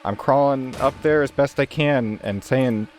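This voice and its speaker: A man's voice: mid-range voice, a little slow. A man chatting casually and colloquially as a player in a tabletop roleplaying game. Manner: animated, colloquial. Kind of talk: casual, improvised game chat. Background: background music.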